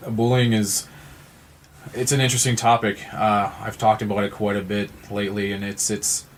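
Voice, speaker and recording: Male, 30s, podcast guest. Low voice, flat delivery, earnest and wordy, some background noise. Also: normal speed. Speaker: podcast guest from a support non-profit. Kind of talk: conversational interview talk.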